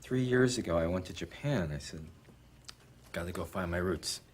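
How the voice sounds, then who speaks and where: A man telling a personal story in a group discussion, animated and acting out what others said. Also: sits low, normal speed, slight background noise. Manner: animated, acts out quoted dialogue.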